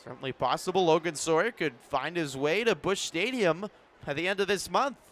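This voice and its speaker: An American man doing sports play-by-play commentary, measured between plays and rising to excited calls. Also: on the high side, a little hiss or hum.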